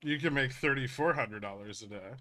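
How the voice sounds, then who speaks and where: Man, mid-pitched, bright forceful voice, casual livestream host, blunt emphatic explainer, sweary. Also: fairly quick. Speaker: livestream host, trading educator. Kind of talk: casual livestream chat, answering viewer questions.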